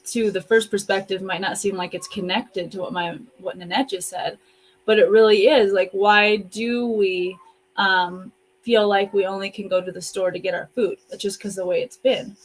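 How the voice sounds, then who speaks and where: A woman's voice: mid-range voice, fairly even intonation, normal speed, poor audio. A woman hosting in a casual, reflective conversational style, speaking over an online video call. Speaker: host and co-author.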